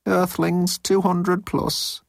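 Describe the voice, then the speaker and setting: High, miserable young alien underling. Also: male voice, level tone, slow pace, creaky, no echo, clean studio sound, heavily acted. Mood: nervous. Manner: miserable, anxious, blurting protests. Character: timid young alien crewman pleading with his father.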